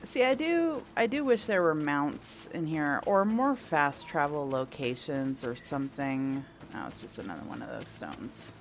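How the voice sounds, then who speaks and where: A woman's voice: low voice, big pitch swings, unhurried, few pauses, slight background noise. A woman doing casual playthrough commentary, thinking aloud and asking herself questions as she goes. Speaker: gameplay host.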